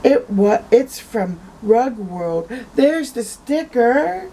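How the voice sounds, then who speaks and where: A woman's voice: a little high, very animated, extremely slow, dark tone, slight background noise, voice pushed up, full character voice. A woman voicing an earnest young schoolboy in a storybook, speaking in a literal, careful, matter-of-fact way. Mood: upset, insistent. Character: Henry, earnest rule-minded young schoolboy seeking a friend.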